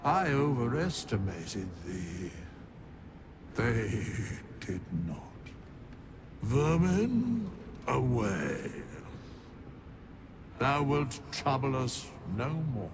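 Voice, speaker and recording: An ancient undead arbiter from a fantasy game, speaking in very slow, archaic pronouncements. The voice is lowish and full of gravel, solemn and grave, yet it swings in pitch over a noisy game soundtrack. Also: male voice, big pitch swings, exaggerated voice. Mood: stern contempt. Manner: grave, deliberate, archaic diction. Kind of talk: archaic theatrical speech in a game cutscene.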